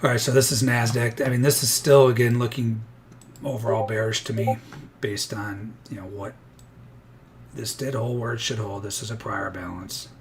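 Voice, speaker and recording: Man, slightly hoarse, lowish voice, chatty live trading commentary with clear noise underneath. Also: steady tone, normal speed. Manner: chatty, thinks aloud, many restarts. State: a little hoarse. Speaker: futures day trader streaming live.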